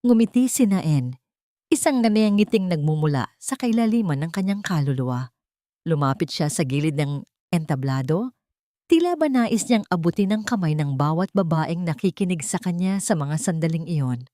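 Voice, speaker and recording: A woman narrating a scripted love story in a measured, sentimental, dramatic storytelling manner. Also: on the low side, lively intonation, mellow, no room sound, studio quality. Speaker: audiobook-style story narrator.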